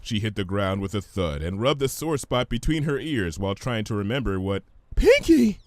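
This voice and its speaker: A man narrating a scripted story in an expressive, theatrical manner. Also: on the low side, fairly quick, dry recording, studio quality.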